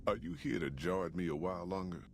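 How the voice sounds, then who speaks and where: A man's voice: deep voice, sing-song, fast talker, creaky, a little hiss or hum, exaggerated voice. An actor playing an older male wasteland trail guide in a video game, speaking in a folksy, plain-spoken drawl. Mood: wry. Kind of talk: video game character dialogue.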